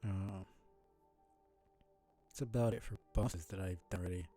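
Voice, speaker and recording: A man's voice: deep voice, fairly even intonation, measured pace, dark tone, soft background music. A man casually commentating a live video game stream, rambling along in a mumbly, mildly annoyed way.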